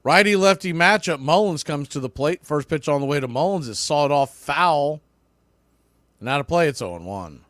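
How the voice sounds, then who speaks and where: A man's voice: a little high, animated, moderate pace, a bit bright, dry recording, clean studio sound. A man doing live baseball play-by-play in clipped short phrases, with casual asides.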